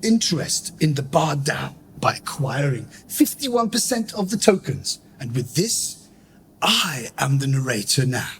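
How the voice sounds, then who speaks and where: Man acting a grandiose, slow-talking villainous marquis. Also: mid-range voice, very animated, fairly bright tone, slight background noise. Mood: gloating, grand. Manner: grandiose, declamatory, mock-Shakespearean. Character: scheming theatrical villain, a male marquis narrator. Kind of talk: radio play acting.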